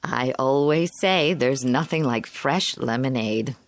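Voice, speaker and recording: An actress playing a kindly, trusting suburban housewife in a radio drama, chatty and gracious in manner. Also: female voice, extremely low pitch, slow pace, no room sound, exaggerated voice. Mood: cheerful.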